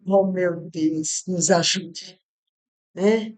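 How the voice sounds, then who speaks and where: A woman's voice: fairly low pitch, fairly even intonation, drawn-out words. A woman from Rio Grande do Norte in Brazil, with a Northeastern Brazilian accent, giving a casual Bible study at home and drifting through asides with many fillers and restarts. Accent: Northeastern Brazilian Portuguese. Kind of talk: informal Bible study talk. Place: home, not a studio, with street traffic outside. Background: soft background music.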